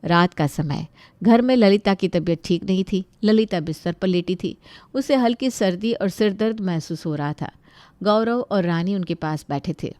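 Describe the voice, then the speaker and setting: A woman's voice: medium pitch, animated, normal speed, mellow, no echo. A woman narrator reading a scripted family story.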